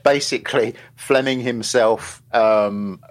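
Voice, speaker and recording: A man's voice: medium pitch, slow. A man talking casually as a guest on a podcast, rambling with many restarts and asides. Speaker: podcast guest, film journalist.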